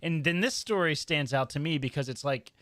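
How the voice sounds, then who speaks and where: Male podcast panelist, higher-pitched and bright, dry close sound, rambling emphatic book chat. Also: fairly quick, dry recording. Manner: rambling, emphatic, often tags phrases with "right?". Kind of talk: casual roundtable book discussion.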